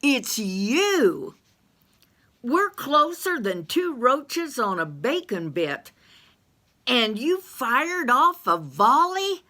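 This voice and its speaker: Squeamish panicky man, shrill and loud, played by a woman storyteller. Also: sing-song, drawn-out words, exaggerated voice. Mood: disgusted. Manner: shrill, frantic, yelling.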